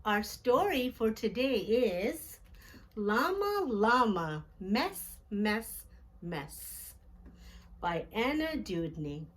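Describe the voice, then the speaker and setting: A woman hosting storytime as a teacher, reading a picture book aloud to young children with deliberate, expressive phrasing. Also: on the high side.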